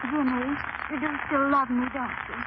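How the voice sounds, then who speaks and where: An actress playing a playful, coaxing young wife in 1930s radio acting style on a scratchy broadcast. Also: female voice, high-pitched, little pitch movement, normal speed, narrow, tinny audio, close to an everyday voice. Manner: gushing, coaxing. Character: playful, wheedling young wife out window shopping.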